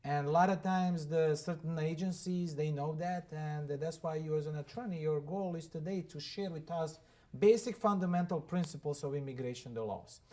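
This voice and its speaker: A male podcast host speaks in a somewhat high voice. He is enthusiastic and emphatic and repeats phrases to drive home his points. He introduces the guest and questions him. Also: normal speed, dark tone. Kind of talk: podcast interview hosting.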